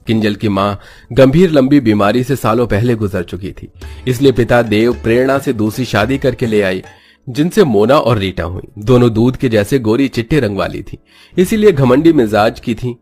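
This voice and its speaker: A man's voice: medium pitch, moderate pace, darkish tone, faint music behind. A man narrating a scripted moral story.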